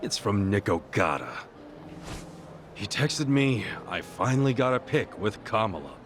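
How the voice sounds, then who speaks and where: A man's voice: on the low side, very animated, measured pace, noisy background. A man playing a hot-headed but earnest guy in a video game cutscene, talking in blunt, emphatic slang. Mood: exasperated.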